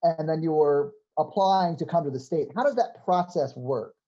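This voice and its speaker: Mellow-voiced host on a dull line. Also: mid-range voice, normal speed. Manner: chatty, thinks aloud in long questions. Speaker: podcast host. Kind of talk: conversational interviewing.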